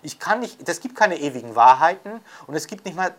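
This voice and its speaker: A man's voice: fairly high pitch, big pitch swings, a little fast. A man talking spontaneously and emphatically in an opinionated interview style, often repeating his points to drive them home. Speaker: interviewee, commentator on media theory.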